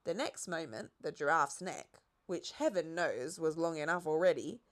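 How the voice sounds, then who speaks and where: A woman's voice: sits low, lively intonation, moderate pace. A woman narrating a children's storybook expressively as an audiobook reader.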